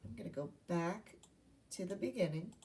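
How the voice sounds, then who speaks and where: A woman's voice: fairly low pitch, normal speed, a little mellow, far from the mic. A woman teacher giving a read-aloud lesson to young children, speaking in simple instructional phrases and asking questions. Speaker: primary school teacher.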